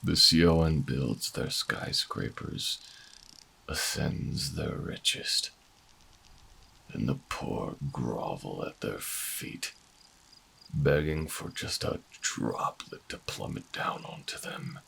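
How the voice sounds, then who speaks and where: A bitter old revolutionary fugitive in a sci-fi audio drama. His voice is extremely low and full of gravel, and he speaks slowly, with brooding, defiant theatricality. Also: pushed and bright, heavily acted, rough recording. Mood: bitter, anguished. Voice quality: very gravelly, husky. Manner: dramatic, brooding, halting monologue. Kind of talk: audio roleplay drama acting.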